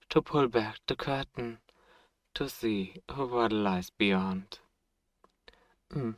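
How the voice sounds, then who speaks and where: A man playing a suave, possessive vampire in an ASMR roleplay, courtly and seductive with teasing menace and dramatic pauses. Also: mid-range voice, dry recording, lightly acted. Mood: seductive admiring, then teasing, playful menace. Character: suave, sadistic, possessive male vampire host.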